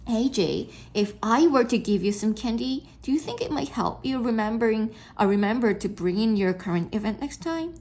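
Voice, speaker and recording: Woman reading as easygoing school principal, husky and lively. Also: on the high side, moderate pace, hardly any pauses. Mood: persuasive, friendly. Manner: patient, chatty, explaining. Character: easygoing, kindly bald male school principal. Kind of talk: children's storybook character voice.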